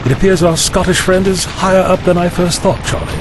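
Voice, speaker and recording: A man's voice: high-pitched, level tone, fairly quick, noisy background, natural voice. A man playing a courteous, wry time-travelling eccentric with lost memory, speaking formally in an audio drama. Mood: thoughtful, puzzled. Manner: formal, wordy, inquisitive.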